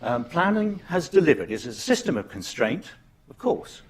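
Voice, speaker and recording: A man's voice: on the high side, very animated, normal speed, breathy edge. A man giving an emphatic, argumentative talk at a panel debate, repeating key phrases. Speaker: panel speaker. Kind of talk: conference presentation.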